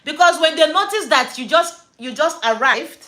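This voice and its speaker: A Nigerian-accented woman hosts a casual live stream from her home, talking into her phone. Her voice sits high and lively, with a bright, clear edge. She tells her stories in a chatty, animated way and drops pidgin slang. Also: moderate pace. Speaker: livestream host.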